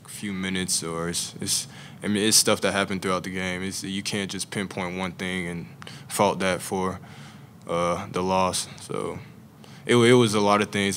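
A man's voice: deep voice, steady tone, moderate pace, fairly bright tone, a little hiss or hum. A young man, a college basketball player, answering at a postgame press conference with many "like" and "I mean" fillers into a table mic. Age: young adult. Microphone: table mic on a stand.

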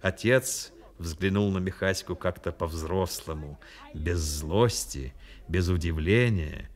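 An actor narrating a Soviet radio play as an earnest, emotive storyteller. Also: male voice, sits low, slow, faint music behind. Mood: intense, grave.

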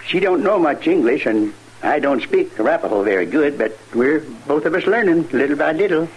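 Earnest older frontier homesteader. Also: male voice, on the high side, animated, a little fast, slightly rough. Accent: rural American. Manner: folksy, earnest. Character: contented, plain-spoken older male homesteader, a widower. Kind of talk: 1950s radio western acting. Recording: noisy vintage 1950s radio recording.

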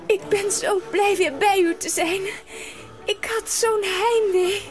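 A woman playing a tender, excitable little girl in an audio drama, speaking in emotional exclamations. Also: very high voice, takes it slowly, faint music behind. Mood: joyful, tearful. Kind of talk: Dutch audio drama acting.

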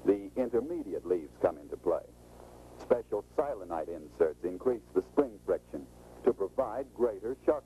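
A man's voice: fairly low pitch, warm tone, slight background noise, rough recording. A middle-aged American man giving scripted, instructive, salesmanlike narration in the style of a 1940s training film, on an old film soundtrack. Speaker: automotive expert presenting a sales training film.

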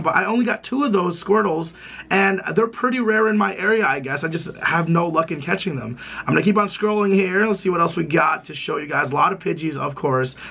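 Young male gaming vlogger, voice hoarse and gravelly, slightly high, chatty and excitable. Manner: excitable, chatty, rambling with many "like"s. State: hoarse, recovering from lost voice. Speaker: gaming YouTuber. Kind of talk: casual gameplay vlog. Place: small room indoors.

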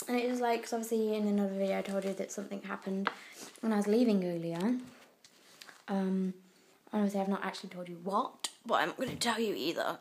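A young woman from the UK with a croaky voice, rambling through a casual video diary with lots of fillers. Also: medium pitch, background noise. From United Kingdom. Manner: rambling, chatty, many fillers and trailing asides. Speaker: vlogger. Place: indoors, abroad in Italy. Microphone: handheld phone camera.

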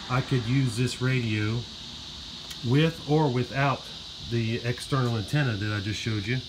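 A man walks through his radio setup in a relaxed, halting way, full of fillers. He records on a phone in his garage and yard. Insects and steady background noise sit under his voice. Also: mid-range voice. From Florida. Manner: relaxed, filler-heavy, explaining as he goes. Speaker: radio hobbyist demonstrating his gear. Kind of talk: casual show-and-tell. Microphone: handheld phone camera.

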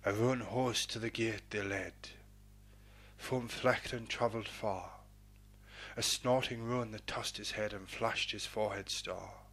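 A man hosting a poetry programme and reading verse in a measured, dramatic, declamatory manner with a husky voice. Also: fairly low pitch, fairly even intonation, fairly bright tone. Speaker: poetry programme host and reader.

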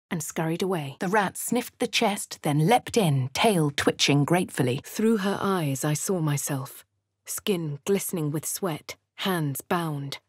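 A husky posh adventurer heroine. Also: female voice, fairly low pitch, no room sound, studio quality. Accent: upper-class English. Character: posh female adventurer, dry-witted and composed. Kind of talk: video game voice lines, in-character narration. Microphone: close studio mic.

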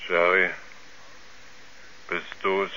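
An actor playing a tormented, doomed astronaut, speaking in halting, anguished pleas in a 1970s radio drama. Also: male voice, low voice, monotone, pushed and bright, heavily acted. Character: tormented doomed male astronaut confessing dark horror. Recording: muffled old radio play, faint noise.

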